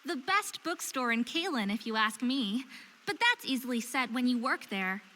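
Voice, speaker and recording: A woman's voice: on the high side, big pitch swings, normal speed, pushed and bright, in a large hall. A woman playing an earnest, stubborn and curious young woman of faerie descent in a visual novel game. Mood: musing. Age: young adult. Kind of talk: visual novel voice acting with inner monologue.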